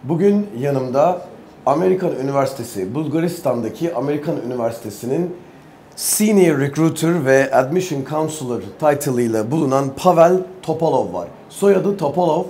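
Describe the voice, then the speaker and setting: A man's voice: on the high side, expressive, fairly quick, dark tone. Turkish-accented male host, noisy background. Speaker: interview show host. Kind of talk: conversational interview. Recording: clear background ambient noise.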